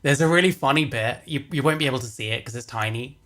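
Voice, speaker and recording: A man's voice: medium pitch, fast talker, bright, forceful tone. An amused man giving casual, mocking livestream commentary and swearing freely.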